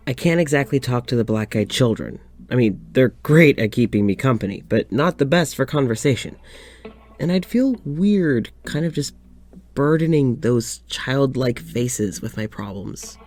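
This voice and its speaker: A weary, lonely doctor in a fiction podcast. He speaks in a confiding, halting way at a middle pitch, sighing as he unloads his troubles. Soft music sits behind the voice. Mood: resigned. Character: lonely, weary young doctor venting to his demon dog. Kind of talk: audio drama monologue.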